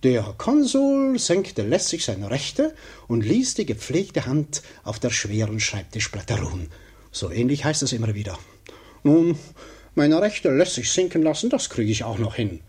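Pompous, fretful everyman, animated delivery. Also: mid-range voice, a little slow, slightly rough, exaggerated voice. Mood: daydreaming, puffed up. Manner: muttering to himself, swinging between grand hopes and grumbling doubt. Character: pompous, fretful everyman daydreaming aloud of riches and status. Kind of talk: 1950s radio play comic monologue.

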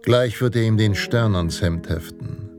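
An actor narrating a Western hero fantasy in a radio play, in a grave, heroic, deliberate manner. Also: male voice, fairly low pitch, close to an everyday voice. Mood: solemn, admiring. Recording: loud guitar music underneath. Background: Western guitar music.

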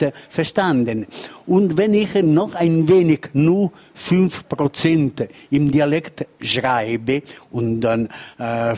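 An older man from Ticino with an Italian accent, speaking halting, imperfect German as a guest writer in a panel talk, searching for words. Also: medium pitch, darkish tone. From Ticino, Switzerland. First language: Ticinese Lombard dialect.

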